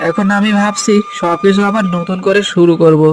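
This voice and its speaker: Man acting a humble villager, highish voice. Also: steady tone, normal speed, soft background music, lightly acted. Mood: earnest, determined. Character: humble, hopeful villager who runs a food stall. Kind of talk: Bengali cartoon voice acting.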